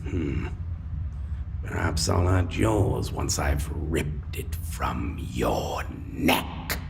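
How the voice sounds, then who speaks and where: A menacing male vampire for a dark fantasy audio drama, with a low, gravelly voice. He taunts with deliberate theatrical relish, swinging between swells and breathy whispers. A noticeable ambient noise sits behind him. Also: expressive, heavily acted. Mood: mocking menace. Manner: slow, deliberate, mocking, drifting into breathy whispers. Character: taunting, menacing male vampire, a lost love turned monster.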